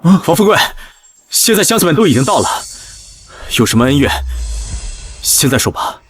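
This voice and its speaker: Man acting a shrewd investigator with animated pitch. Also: slightly rough, soft background music. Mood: firm, negotiating. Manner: deliberate reasoning, authoritative. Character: shrewd, composed male investigator reasoning and negotiating. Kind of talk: dramatic audio drama acting.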